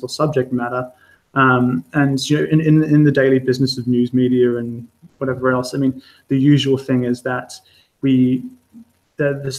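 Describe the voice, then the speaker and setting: Australian man, flat hesitant talk. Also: medium pitch, rough recording. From Australia, Adelaide. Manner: hesitant, many fillers and restarts, candid. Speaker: freelance journalist giving a talk. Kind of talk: informal talk to an online audience. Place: home or studio for a live stream. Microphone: streaming setup.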